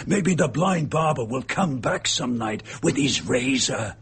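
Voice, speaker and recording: A man acting in a radio drama as a gloating, bitter murderer and impostor, with a husky, gravelly voice and a sneering, darkly amused manner. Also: medium pitch, animated, slow pace, a bit bright, exaggerated voice. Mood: mocking.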